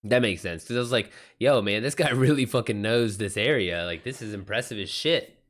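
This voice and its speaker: A man's voice: lowish voice, brisk, a bit bright, slight background noise. An American man hosting a livestream, talking casually with viewers in an informal, slangy way and swearing freely. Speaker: livestream host.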